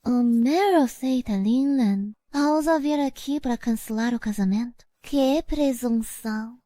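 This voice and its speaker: A woman's voice: a little high, unhurried, mellow, no room sound, close to an everyday voice. A woman playing an imperious, threatening empress in a dramatic motion-comic dub, speaking formally and with haughty command. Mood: scornful. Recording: music behind the voice.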